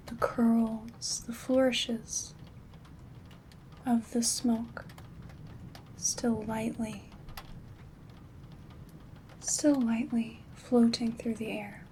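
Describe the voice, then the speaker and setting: Woman playing a slow, whispering gentle witch. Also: a little high, poor audio. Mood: calm, soothing. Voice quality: soft, breathy whisper. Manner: soothing, slow, hushed, formal and tender wording. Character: gentle, affectionate female witch and caring friend. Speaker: ASMR roleplay performer. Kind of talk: soft-spoken, whispered ASMR roleplay. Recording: steady background rain ambience noise.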